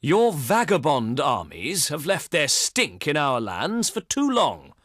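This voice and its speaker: A haughty ancient Greek envoy from a strategy game, in a fairly high male voice that swings theatrically in pitch. He speaks slowly and grandly, with courtly pauses and a wry, pompous edge. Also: huge pitch swings, fairly bright tone, no room sound, clean studio sound, exaggerated voice. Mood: stern, indignant. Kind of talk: theatrical scripted game dialogue.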